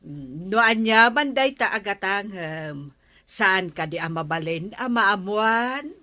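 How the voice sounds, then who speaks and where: A woman's voice: mid-range voice, animated, slow pace, sharp tone, no echo. A woman playing a nagging, practical village woman in an Ilocano comedy drama, scolding with an Ilocano accent.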